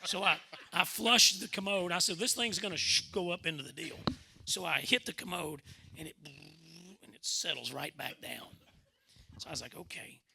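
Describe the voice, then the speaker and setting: A man's voice: on the high side, moderate pace, creaky, background noise. A middle-aged man with a Southern Arkansas accent telling stories informally in a folksy, rambling way, often repeating himself. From Arkansas, United States. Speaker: former basketball coach and commentator telling stories at a club talk. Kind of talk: animated informal storytelling.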